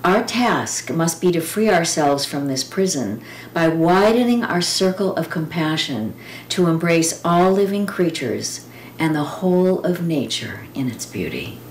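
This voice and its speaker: Low-voiced woman reading aloud, roomy echo. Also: lively intonation, measured pace. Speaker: poetry reader. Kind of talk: live read-aloud of poems and quotations with informal commentary. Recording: roomy echo with clear background noise.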